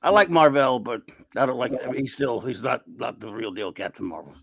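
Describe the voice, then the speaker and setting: A man's voice: medium pitch, brisk, creaky, no echo. A man taking part in a casual online podcast chat, blunt and dismissive in his quips. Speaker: podcast guest.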